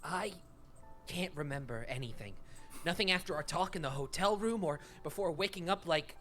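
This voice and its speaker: A man playing a confused, beseeching hero in a comedic fan dub, speaking earnestly and haltingly. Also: on the high side, a bit bright, faint music behind, natural voice. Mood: troubled, halting. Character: pleading, confused male hero, hedgehog turned human.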